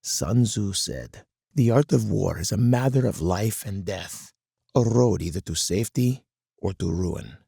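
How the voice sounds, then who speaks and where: An actor playing a hard, commanding older colonel in a strategy game, husky and gravelly, with stern, deliberate oratory. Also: male voice, on the low side, animated, mellow, no echo, clean studio sound, exaggerated voice. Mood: grave lecturing. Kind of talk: dramatic scripted game character monologue.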